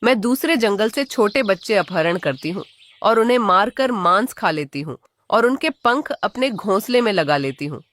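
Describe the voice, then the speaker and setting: Lady doing a cold killer eagle villain, kids cartoon, mid pitch female voice. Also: expressive, moderate pace, fairly bright tone, lightly acted. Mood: boastful. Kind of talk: children's cartoon voice acting.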